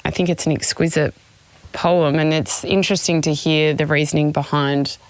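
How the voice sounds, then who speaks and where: A woman's voice: low voice, steady tone, normal speed, dry recording, poor audio. A woman hosting a conversational podcast interview. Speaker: podcast host.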